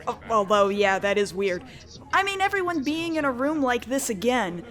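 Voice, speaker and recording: A woman's voice: on the high side, big pitch swings, moderate pace, fairly bright tone. A woman playing a sensible, wry veteran of a group of friends in a comedy web drama. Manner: casual, wry.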